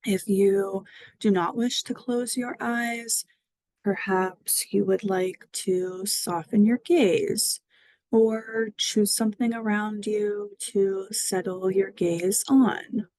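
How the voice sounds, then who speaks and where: A woman guiding a mindfulness body scan meditation, speaking gently and soothingly with long pauses. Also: mid-range voice, steady tone. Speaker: mindfulness guide.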